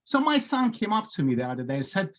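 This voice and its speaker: Ukrainian-accented man telling jokes. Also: high-pitched. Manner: jokey, lively storytelling with pauses. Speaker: club speaker. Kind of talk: humorous prepared speech. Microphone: video call.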